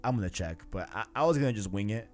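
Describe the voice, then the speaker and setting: A man's voice: lowish voice, lively intonation, quick pace. A man hosting a casual video game discussion, enthusiastic and slangy, often repeating himself for emphasis. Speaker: podcast host. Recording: music playing behind the voice.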